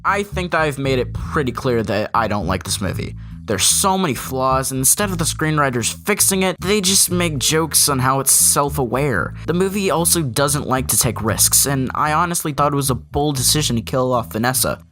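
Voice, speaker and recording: A man's voice: medium pitch, expressive, normal speed, fairly bright tone. A man narrating an opinionated comedic review in a sarcastic, ranting, sweary manner. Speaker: video reviewer and host. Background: background music.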